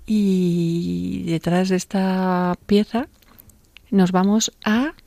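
A woman hosting a classical music radio show, speaking calmly and unhurriedly. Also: on the low side, drawn-out words, creaky. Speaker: radio host.

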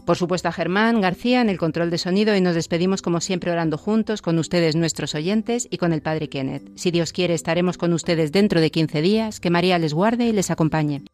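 A woman hosting a religious radio program, reading announcements and a closing in a calm, measured, devotional way. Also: on the low side, faint music behind. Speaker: radio host.

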